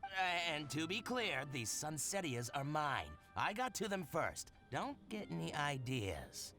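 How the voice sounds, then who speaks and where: Excitable, very animated scheming merchant. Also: male voice, on the high side, unhurried, exaggerated voice. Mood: suspicious, possessive. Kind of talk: video game voice acting. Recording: game music behind the voice.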